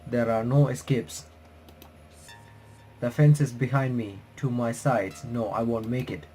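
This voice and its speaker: A man's voice: mid-range voice, fairly even intonation, warm tone. Man reading a game aloud over music. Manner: halting read-aloud, stumbling and rereading phrases. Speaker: let's-play video creator reading a visual novel aloud. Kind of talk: reading game text aloud with casual reaction commentary. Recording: game soundtrack playing behind the voice. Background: visual novel music.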